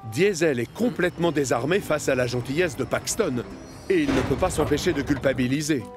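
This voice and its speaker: A man works as narrator for a children's animated series, reading a scripted story. His voice sits at a middle pitch, with music playing behind it. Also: fairly quick.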